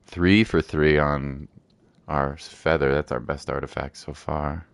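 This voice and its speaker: A man's voice: a bass voice, gravelly, clean tone. A man streaming a game with casual, laid-back commentary, musing aloud as he plays.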